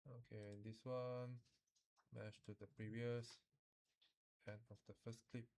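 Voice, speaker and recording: A man giving an informal, colloquial walkthrough as a software tutorial instructor. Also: mid-range voice, flat delivery, takes it slowly. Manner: colloquial, with sentence-final particles and Chinese-English code-switching. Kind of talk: informal screen-recorded software demo.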